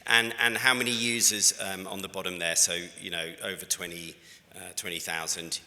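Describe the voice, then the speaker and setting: A man's voice: sits low, little pitch movement, moderate pace, bright, forceful tone. A British man giving a conversational conference presentation, talking through slides with frequent fillers and restarts. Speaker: conference speaker. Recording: reverberant conference hall.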